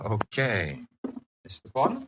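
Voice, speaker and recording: An actor playing a brash, wisecracking younger detective's assistant, breezy and slangy, in a 1950s radio drama. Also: male voice, on the low side, huge pitch swings, a little slow, fairly bright tone, a little hiss or hum, heavily acted. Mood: dry. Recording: dull lo-fi vintage radio recording.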